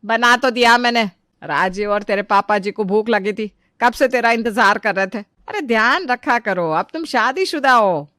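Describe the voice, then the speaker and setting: A woman's voice: a little high, normal speed, pushed and bright, no room sound, clean studio sound. An actress playing an exasperated middle-aged mother-in-law, sarcastic and nagging, in a comic family story. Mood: sarcastic scolding. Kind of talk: animated family comedy story acting.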